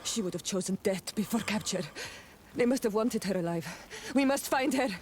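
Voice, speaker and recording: A woman's voice: medium pitch, animated, normal speed, slight background noise, lightly acted. An actress playing a proud, loyal young warrior woman of a tribe in a video game cutscene, with solemn, formal and intense phrasing. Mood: urgent.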